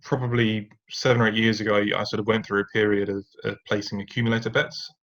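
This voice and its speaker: A man's voice: lowish voice, little pitch movement, fast talker, a bit bright. A man, a podcast guest, telling his personal story in a reflective way with fillers and restarts. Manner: reflective, many fillers and restarts, occasional rueful laughs. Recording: dull lo-fi sound.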